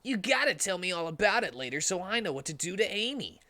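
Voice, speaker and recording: A man's voice: high voice, very animated, fast talker, sharp tone, voice pushed up, full character voice. A man voicing a brash, earnest young male cartoon hedgehog hero with exaggerated, goofy emphasis. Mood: eager.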